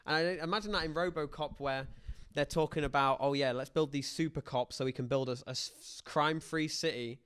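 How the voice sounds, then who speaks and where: A man's voice: a little high, a little fast, few pauses, fairly bright tone, clear, resonant tone. A man talks casually as a podcast host about film. He is opinionated and likes to act out imagined reactions as he makes his points.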